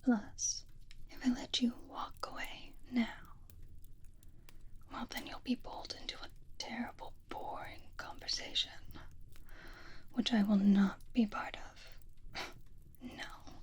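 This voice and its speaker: Slow whispering flirtatious vampire played by a woman. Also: on the high side, steady tone. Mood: teasing, possessive. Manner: slow, coaxing, sighing, theatrically formal. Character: flirtatious, possessive, teasing vampire devoted to a hunter. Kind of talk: whispered ASMR roleplay.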